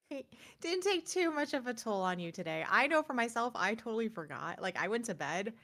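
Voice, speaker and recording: A woman's voice: on the high side, big pitch swings, moderate pace, a bit bright. Chatty woman co-host, casual and informal. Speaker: co-host of a tech and gaming news show. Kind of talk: casual chat.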